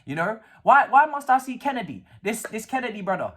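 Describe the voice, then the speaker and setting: Sarcastic, animated man. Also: high voice, fairly quick. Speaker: football fan vlogger. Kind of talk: casual match reaction rant.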